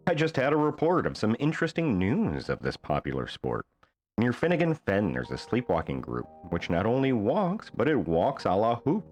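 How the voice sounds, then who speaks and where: Deep-voiced, lively man reading a bedtime story. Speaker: storyteller reading a children's book. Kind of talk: expressive rhyming bedtime storybook narration.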